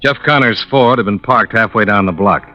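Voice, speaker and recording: A man's voice: on the low side, normal speed, bright, forceful tone, soft background music. An actor playing a cool, businesslike American insurance investigator in a 1950s radio detective drama, clipped and dry in his narration. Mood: dry, matter-of-fact. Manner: clipped, dry, matter-of-fact. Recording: muffled old-time radio recording.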